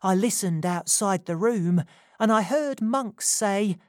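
A woman's voice: mid-range voice, animated, takes it slowly, darkish tone, no room sound, studio quality, voice pushed up. A woman voicing a frightened young woman who speaks in an urgent, pressing way in a storybook reading.

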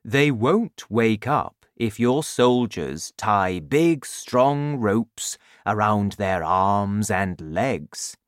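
A man voicing a big, gentle, friendly giant in a storybook reading, speaking slowly and humbly. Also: fairly low pitch, animated, smooth, no echo, studio quality.

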